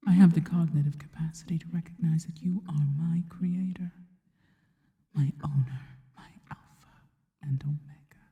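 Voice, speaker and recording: A woman voicing a devoted, worshipful spider monster girl in a soft, breathy, intimate ASMR whisper, speaking slowly and reverently. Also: deep voice, dull audio. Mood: adoring, devoted. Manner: slow, deliberate, reverent.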